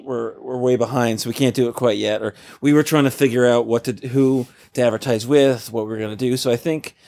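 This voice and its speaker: A man co-hosting and editing a casual podcast, breaking in often and talking in quick bursts. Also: mid-range voice, steady tone, creaky, a little mellow. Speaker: podcast co-host and editor.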